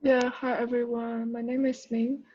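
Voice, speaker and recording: A woman developer politely introducing herself on a video call, measured and pausing. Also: on the high side, flat delivery, warm tone, a little hiss or hum. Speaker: full stack developer. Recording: muffled lo-fi video call audio.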